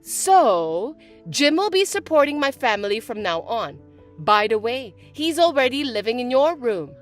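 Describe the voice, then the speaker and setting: Perempuan memerankan adik tiri yang melengking dan sombong. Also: female voice, big pitch swings, measured pace, soft background music. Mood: gloating. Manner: shrill, mocking and bossy. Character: bratty, spiteful young adult stepsister. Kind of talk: voiced text-message drama.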